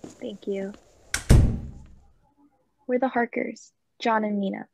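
A woman playing a self-assured young married gentleman with a husky, creaky voice in a radio play. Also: on the high side, fairly even intonation, slow, no room sound, full character voice. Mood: polite. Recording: dry, slightly dull sound.

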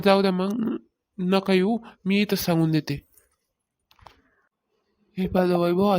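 A woman's voice: mid-range voice, darkish tone, lightly acted. Woman playing a meddling village gossip, cartoon comedy, rural Maharashtrian accent, taunting, insistent, level intonation. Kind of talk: Marathi comedy cartoon dialogue.

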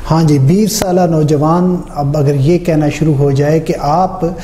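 Male host with a very dark voice. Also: fairly high pitch, fairly even intonation, unhurried. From Pakistan. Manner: asks long, winding, formal questions. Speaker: interview host. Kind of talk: interview questions. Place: small studio room, indoors. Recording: echoey small room.

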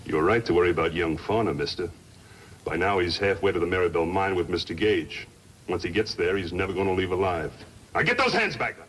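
A menacing gunslinging henchman with a deep voice, sly and threatening in old radio western fashion. The vintage recording is faintly noisy. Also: expressive, fairly quick, fairly bright tone. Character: lying, menacing male outlaw henchman with a gun. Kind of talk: 1950s western radio acting.

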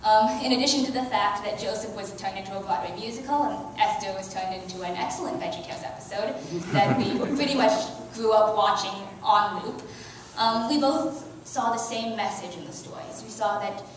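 An earnest teenage girl reads her youth sermon from a church lectern, stumbling now and then on a word. Her voice sits at an ordinary pitch and washes through a very echoey sanctuary, picked up from a distance with a faint hum of room noise. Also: moderate pace. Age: teens. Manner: earnest, with soft laughs and small restarts. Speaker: youth speaker. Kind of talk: reading a prepared sermon. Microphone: headset mic, filmed by far camera.

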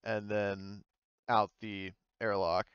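A man running a tabletop roleplaying game as game master, narrating informally and haltingly and speaking for characters in his own voice. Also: fairly low pitch, level tone, smooth, dry recording, highs cut off.

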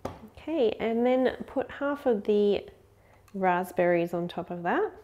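A woman's voice: medium pitch, animated, slow pace, warm tone, highs cut off. Brief: woman in her 30s, friendly home cook host, chatty step-by-step talk in a kitchen. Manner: upbeat, chatty, explains step by step. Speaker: cooking show host. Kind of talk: friendly recipe demonstration.

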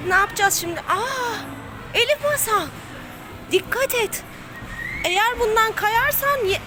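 A very high, lively female voice, slow and drawn out, chatting to a child in a playful, coaxing way. She exclaims and encourages while clambering about, with clear background noise from the play area. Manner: physically active while talking. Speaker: family vlog host playing along with a child. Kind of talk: playful running commentary addressed to a child. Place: play park obstacle course. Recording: noisy play area ambience.

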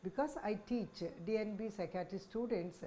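Older Indian-accented woman doctor, mellow voice. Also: medium pitch, normal speed, slight background noise. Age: 60s. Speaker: senior child psychiatrist, head of department. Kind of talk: informational talk to camera. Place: small office, indoors. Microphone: lapel microphone.

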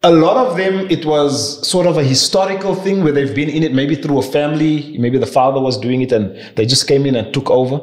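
A South African man in his forties, guest on an interview podcast, telling stories in an animated, chatty way into a boom mic. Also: brisk, hardly any pauses. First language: not Afrikaans. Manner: animated, laughing storyteller. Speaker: guest, property investor. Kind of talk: casual interview storytelling. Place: furnished studio room with bookshelves. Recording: roomy studio echo.

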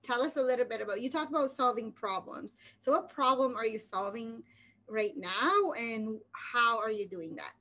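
A woman's voice: a little high, normal speed, bright, forceful tone. A middle-aged woman hosting a casual interview over a video call from home, chatty and encouraging with plenty of fillers. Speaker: interview host. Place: home room with slanted wooden ceiling. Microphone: laptop webcam on video call.

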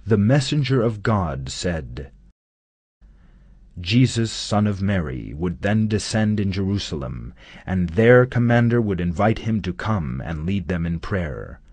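A man narrating a scripted documentary in a measured, solemn studio voice-over. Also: deep voice, big pitch swings, a little mellow, slightly rough, no room sound.

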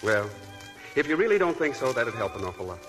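A man's voice: medium pitch, sing-song, quick pace, close to an everyday voice. An actor playing a charming, aimless young man from an old family, in 1940s radio acting, wistful and self-mocking. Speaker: Robert Manette. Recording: old radio broadcast with faint music.

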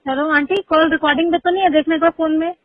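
A woman's voice: extremely high pitch, fairly even intonation, quick pace, no echo. A young woman on a recorded phone call, talking hesitantly and repeating herself. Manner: hesitant, repetitive. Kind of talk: private phone conversation.

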